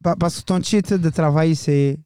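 A man's voice: on the high side, steady tone, unhurried, dark tone, smooth, dry recording, clean studio sound. A young man hosting a student radio show, talking loosely and casually and slipping in English words. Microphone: studio microphone.

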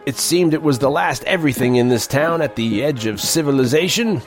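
A man's voice: medium pitch, fairly quick, natural voice. An actor playing a world-weary newspaper reporter who narrates his western tale in a wry first person. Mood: wry, reflective. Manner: wry, hard-boiled storytelling. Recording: music behind the voice.

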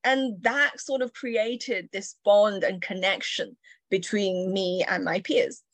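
A woman's voice: mid-range voice, no room sound. Woman from china telling her story, laughs a bit, dead dry sound. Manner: warm reflective storytelling, light laughs. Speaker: entrepreneur guest. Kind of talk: interview storytelling.

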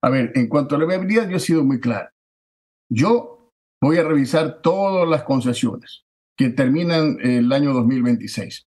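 A man, a political candidate giving forceful, declamatory campaign answers that stack repeated phrases for emphasis. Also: mid-range voice, expressive, normal speed. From Ecuador.

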